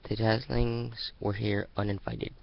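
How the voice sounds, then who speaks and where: A man narrates a story in a slow, very gravelly voice. He reads dramatically but haltingly, sometimes stumbling and restarting. The recording is dull and lo-fi. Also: fairly low pitch, level tone. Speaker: amateur fan fiction reader.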